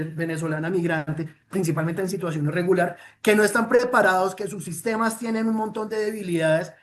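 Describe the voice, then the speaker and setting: A man's voice: high voice, a little hiss or hum. A man with a Colombian accent giving a formal, earnest presentation on a report, with frequent "eh" fillers and restarts. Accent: Colombian Spanish. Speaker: presenter of a child protection report.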